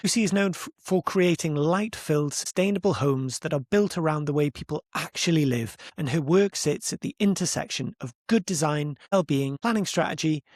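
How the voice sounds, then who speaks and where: A man hosting an interview podcast, reading a measured introduction before he asks questions. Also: a little high, no echo, studio quality. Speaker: podcast host.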